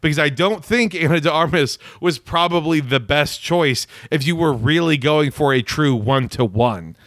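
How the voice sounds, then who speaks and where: A man co-hosting a casual film review podcast, recapping scenes with sarcastic, deadpan jokes. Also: on the high side, normal speed, dry recording, clean studio sound. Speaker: podcast co-host.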